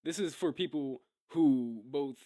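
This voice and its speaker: A man's voice: fairly high pitch, measured pace, warm tone, dry recording, clean studio sound. A man doing casual live reaction commentary as a streamer, rambling and swearing in a slangy way.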